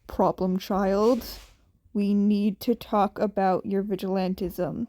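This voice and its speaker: A woman voicing a weary, blunt adult male hero in a fan fiction audiobook, with a deadpan manner. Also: medium pitch, takes it slowly, voice pushed down, close to an everyday voice. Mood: stern.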